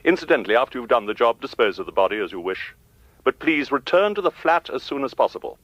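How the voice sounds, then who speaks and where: An actor playing a polite, formal crime boss who threatens with measured courtesy in a radio thriller. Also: male voice, medium pitch, big pitch swings, no room sound, muffled recording. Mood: silky threat. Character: coolly menacing, courteous male crime boss.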